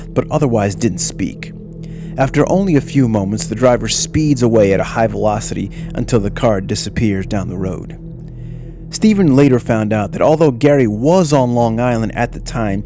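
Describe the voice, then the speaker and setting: A man's voice: on the low side, warm tone. A man reading scripted narration for a mystery documentary.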